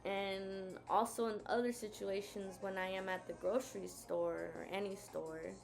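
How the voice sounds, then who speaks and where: Chatty female co-host telling everyday stories at a slow, gravelly pace. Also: medium pitch, fairly even intonation, soft background music. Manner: chatty, storytelling. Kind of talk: casual talk sharing examples.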